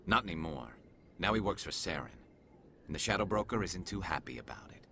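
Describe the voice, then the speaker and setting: An actor playing a determined, earnest alien police investigator in a video game, speaking with clipped intensity. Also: male voice, deep voice, animated, fairly quick, vocal fry, bright, forceful tone, a little hiss or hum, full character voice.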